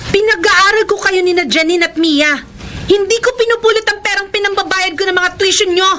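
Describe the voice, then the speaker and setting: An actress playing a proud, feisty online seller and bossy elder sister in a Filipino radio drama. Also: female voice, very high voice, brisk, pushed and bright, a little hiss or hum. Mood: angry, scolding.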